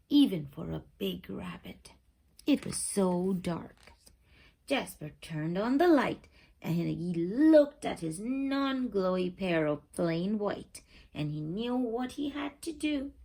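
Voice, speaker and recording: Animated woman storyteller, slow and suspenseful. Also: medium pitch, creaky. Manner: expressive, suspenseful, stretches key words. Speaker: storyteller reading a picture book aloud. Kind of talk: animated children's read-aloud with dramatic whispers and questions.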